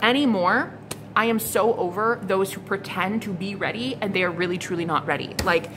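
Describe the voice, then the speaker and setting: A woman's voice: mid-range voice, normal speed, music in the background. A woman in her thirties doing a casual tarot reading to camera, blunt and exasperated, swearing freely and repeating herself. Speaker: psychic tarot reader. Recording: noticeable background noise.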